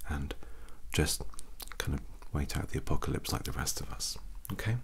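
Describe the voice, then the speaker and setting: A man in his thirties doing a soft-spoken, half-whispered ASMR doctor roleplay with a gentle, unhurried bedside manner, very close to the mic. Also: extremely low pitch, animated, vocal fry, breathy edge. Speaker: ASMR performer playing a doctor. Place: small quiet room indoors. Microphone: close mic, very near the mouth. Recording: noisy close-up recording with mouth clicks.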